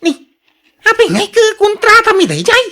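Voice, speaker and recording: A woman's voice: very high voice, wildly swooping pitch, normal speed, pushed and bright, full character voice. A woman with an Ilocano accent playing an exasperated, defensive working husband in a radio drama, full of protest. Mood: irritated.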